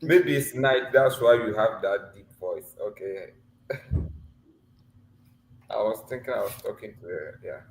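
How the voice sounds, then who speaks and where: A man's voice: lowish voice, a little slow, pauses often, a little hiss or hum. A man coaching a student for a mock visa interview over a video call, teasing and laughing often but encouraging. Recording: echoey room with faint ambience.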